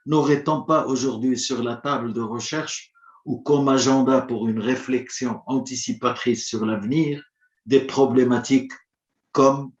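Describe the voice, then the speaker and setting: A man whose first language is Arabic, giving a formal prepared conference address in a measured, rhetorical manner, with long listed points. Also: mid-range voice, fairly even intonation. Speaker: conference speaker. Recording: roomy, dull lo-fi audio.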